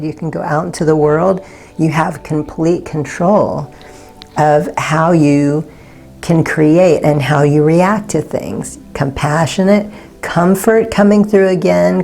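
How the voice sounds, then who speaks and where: A woman with a low, warm, slightly raspy voice gives a tarot reading. She talks in a soft, reassuring way, pausing now and then to find the right word. Faint music plays underneath. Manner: soft, unhurried and gently reassuring, pausing to search for words. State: slightly raspy from not talking all day. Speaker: tarot card reader. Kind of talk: pick-a-card tarot reading.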